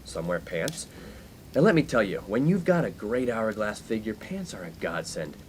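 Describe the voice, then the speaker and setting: Guy doing a young college blowhard from a 50s radio comedy, theatrical speeches, really animated, bit of noise. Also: on the low side, normal speed. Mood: smug. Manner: grandiose, wordy, theatrical speechifying. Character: pompous, skirt-chasing young college freshman in the 1950s. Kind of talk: comedic radio play acting.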